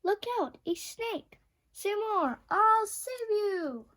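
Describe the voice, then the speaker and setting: Woman voicing an excitable young boy cat, very high. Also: slow pace, full character voice. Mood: excited. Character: excitable young male cartoon cat, eager and dramatic.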